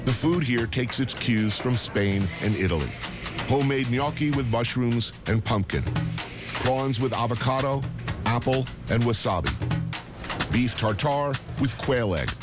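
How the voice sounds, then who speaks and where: A man's voice: medium pitch, measured pace. A man reading scripted travel documentary narration. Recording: loud guitar music behind. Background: guitar music.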